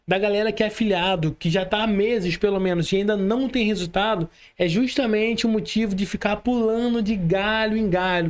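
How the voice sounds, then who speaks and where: Brazilian guy hosting a podcast, pretty high voice, casual chatty interview style. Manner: colloquial, frequent check-in tags. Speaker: podcast host.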